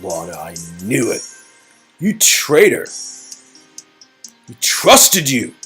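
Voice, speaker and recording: A man's voice: medium pitch, huge pitch swings, slow pace, fairly bright tone, slightly rough, faint music behind, pitched above the performer's own voice, exaggerated voice. A man playing the sarcastic, exasperated hero of a story game, rambling and repeating words. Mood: betrayed, sarcastic.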